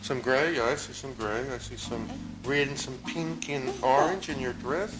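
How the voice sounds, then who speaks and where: A man's voice: medium pitch, moderate pace, loud music behind the voice, poor audio. A man chatting casually as a father with a young child, coaxing and asking questions.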